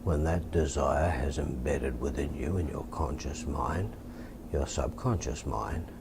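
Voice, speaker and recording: Deep aussie guy doing hypnosis instruction, calm n deliberate, repeats stuff, noisy recording. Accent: Australian. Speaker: hypnotist teaching and demonstrating. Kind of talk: hypnosis instruction and induction, softly spoken.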